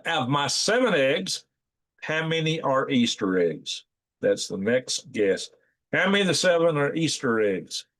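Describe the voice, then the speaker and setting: Folksy man, hoarse, live-chat host who rambles and reads viewer comments aloud. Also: medium pitch. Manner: rambling, folksy, with frequent fillers and restarts. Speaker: livestream host.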